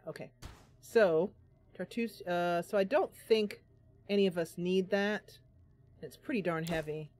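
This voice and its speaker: A woman giving casual, chatty game commentary, thinking aloud in a playful way. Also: on the low side. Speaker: gameplay host and role-player. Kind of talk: casual game commentary with voiced role-play. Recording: game music behind. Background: game music.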